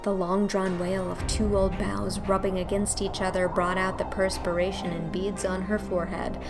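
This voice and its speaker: A woman narrating a scripted storybook as its host, with a measured, expressive delivery. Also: medium pitch, over music.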